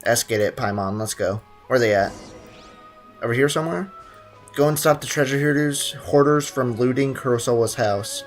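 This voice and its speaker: A man's voice: medium pitch, normal speed, soft background music. A young man streaming a game casually, joking around and reading chat aloud.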